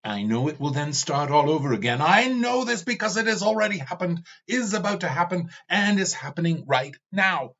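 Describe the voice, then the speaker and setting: A man voicing a weary, raging, all-seeing male demon king who speaks slowly and vehemently, in a dull lo-fi recording. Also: medium pitch, sing-song, fairly bright tone, slightly rough, heavily acted.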